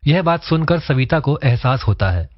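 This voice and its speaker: A man's voice: fairly high pitch, expressive, normal speed, no room sound, muffled recording. A man reading scripted storytelling narration for a cartoon moral tale.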